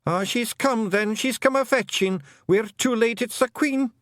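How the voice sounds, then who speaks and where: A man's voice: measured pace, no room sound, studio quality. A man voicing a tiny, scrappy blue fairy clansman in a broad Scottish brogue. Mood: wailing. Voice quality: gruff broad brogue, high and shouty. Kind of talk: audiobook character voice.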